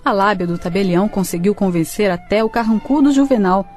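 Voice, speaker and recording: Brazilian lady narrating a radio drama, warm mellow tone, light music behind. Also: medium pitch, a little fast.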